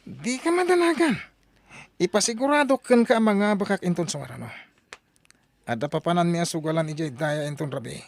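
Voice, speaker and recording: A woman's voice: fairly low pitch, animated, fairly quick, pauses often. A woman voicing a reckless, boastful middle-aged husband who gambles, in an audio drama. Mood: dismissive. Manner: boastful, brushes off worries.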